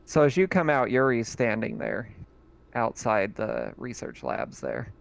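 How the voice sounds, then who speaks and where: A man's voice: fairly low pitch, huge pitch swings, vocal fry, slight background noise. A man running a tabletop horror game as game master, narrating casually with plenty of fillers. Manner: casual, with fillers and quick reactions.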